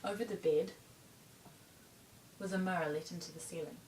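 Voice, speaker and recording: A woman performing a stage monologue as a troubled young bohemian runaway, confessing in a deliberate, haunted manner with pauses. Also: steady tone, a little mellow, lightly acted. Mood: haunted, recounting. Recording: roomy, distant mic, faint rumble.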